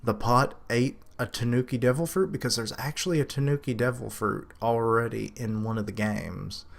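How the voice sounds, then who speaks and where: A man casually reviewing a comic chapter, rambling and correcting himself, with quick laughs and higher pitch when quoting characters. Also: fairly even intonation, vocal fry. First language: English. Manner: lifts his pitch to quote characters.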